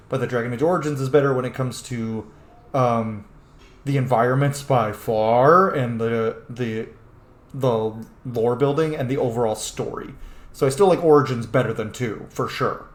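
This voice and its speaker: A man giving casual, sweary, emphatic and opinionated commentary as host of a video game playthrough. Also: mid-range voice. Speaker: host of a game roleplay series. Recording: roomy sound with faint game music.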